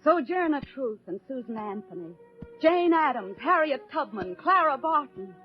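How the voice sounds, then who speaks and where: American housewife, solemn orator, slow measured delivery, 1940s radio drama, muffled sound, resolute. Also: female voice, sits high. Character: resolute American housewife and mother, defiant, plainspoken. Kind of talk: 1940s radio acting, dramatic poetic monologue. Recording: muffled old radio broadcast, faint music.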